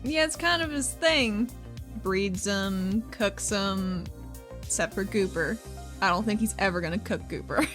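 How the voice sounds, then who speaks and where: A woman's voice: mid-range voice, unhurried, a bit bright, faint music behind, natural voice. A woman playing a prickly, sardonic female character in a tabletop game, blunt and informal. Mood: wry. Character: irritable, foul-mouthed, nervy woman named Athena.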